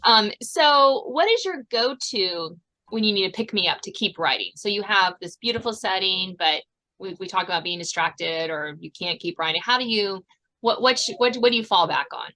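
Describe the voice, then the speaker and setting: Bright-voiced woman podcast host. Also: medium pitch, expressive, moderate pace, rough recording. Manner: chatty, laughs often. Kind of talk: casual chat interview.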